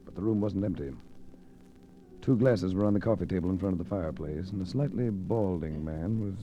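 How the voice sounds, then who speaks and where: A man plays a wry American private eye in an old radio mystery. His voice is low and mellow with a dry, sardonic edge, on a muffled vintage recording. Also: brisk, slight background noise, close to an everyday voice. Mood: wry narration. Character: cool, wry male private investigator, former attorney. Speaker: lead actor and first-person narrator. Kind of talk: 1950s radio detective acting.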